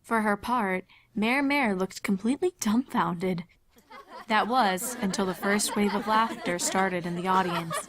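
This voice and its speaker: A woman's voice: medium pitch, unhurried, a bit bright, a little hiss or hum. A woman reading fan fiction aloud as a dramatic scripted narration. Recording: music behind the voice. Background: background music.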